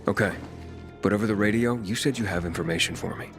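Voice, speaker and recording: A driven young loner from a video game voiced by a male actor, earnest but guarded. The voice is very low and the phrases are short and reserved. Music plays clearly underneath. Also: fast talker, close to an everyday voice. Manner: terse, reserved. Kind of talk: video game cutscene acting.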